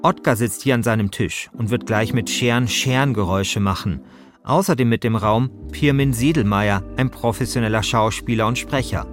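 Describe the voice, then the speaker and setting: A man's voice: mid-range voice, moderate pace. A man hosting with scripted documentary-style narration. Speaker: host, narrator. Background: music.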